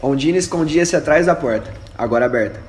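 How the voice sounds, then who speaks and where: A man's voice: on the high side, lively intonation, fast talker, darkish tone. A man narrating a scripted radio drama as its storyteller. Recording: echoey room with faint noise.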